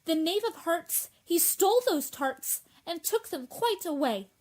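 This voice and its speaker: A woman's voice: extremely high pitch, animated, a little slow. A woman playing an officious, proper royal herald in a radio play, formal and declaiming. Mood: proclaiming.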